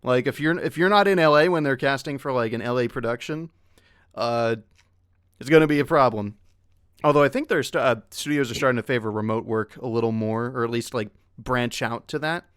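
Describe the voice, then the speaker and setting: A man's voice: mid-range voice, a little fast, a bit bright, clear, resonant tone, no echo, studio quality. A man who works as a voice actor chatting casually on a panel, talkative, encouraging and given to joking asides. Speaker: voice actor on an online convention panel.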